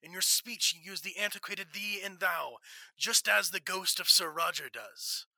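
A young man playing a stern, invisible crime-fighting investigator in classic radio drama style, measured, formal and ominous. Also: sits high, gravelly, pushed and bright, dry recording, full character voice, rough recording. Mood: quiet menace. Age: young adult.

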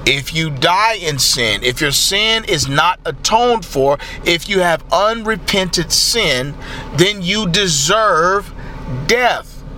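Male preacher; slow and lively, bright tone, faint traffic behind. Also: high-pitched. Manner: slow, emphatic preaching with rising exclamations. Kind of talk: sermon.

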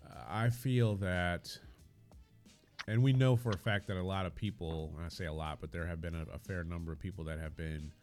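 A man hosting a casual discussion, speaking in a measured, questioning way and pushing back on points. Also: low voice, fairly even intonation, a little mellow, faint music behind. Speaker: podcast host.

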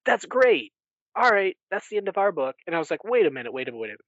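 A man's voice: sits high, sing-song, rapid-fire, fairly bright tone, dry recording, lo-fi sound. A man hosting a casual book recap podcast, retelling things in an enthusiastic, rambling way with acted-out asides. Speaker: podcast host.

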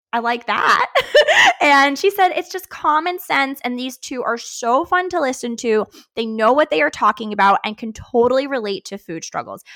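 Bright, upbeat woman podcast host. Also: fairly high pitch. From Pennsylvania. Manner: upbeat, laughs often. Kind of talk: casual podcast chat.

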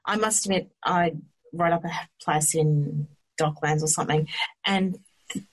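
A woman's voice: low-pitched, steady tone, unhurried, slightly rough, low-quality recording. An Australian woman co-hosting a podcast, chatting informally and casually. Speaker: podcast co-host. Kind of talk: casual chat.

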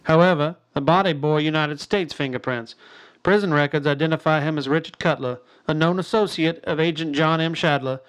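A woman's voice: low voice, fairly quick, clear, resonant tone, natural voice, rough recording. A woman acting a stern, menacing government Secretary in a radio drama, formal and accusatory in delivery. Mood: cold, accusing.

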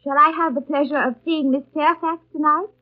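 Actress as composed young governess, tinny old radio. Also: female voice, high-pitched, steady tone, close to an everyday voice. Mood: polite curiosity. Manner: formal, measured, literary diction. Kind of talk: 1930s radio drama acting and narration. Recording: tinny, band-limited 1930s radio broadcast.

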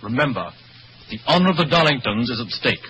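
Sharp, forceful, proud lord. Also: male voice, medium pitch, lively intonation, a little fast. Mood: indignant. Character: proud, indignant English lord defending family honor. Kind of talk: 1940s radio drama acting. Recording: muffled, faintly noisy old radio.

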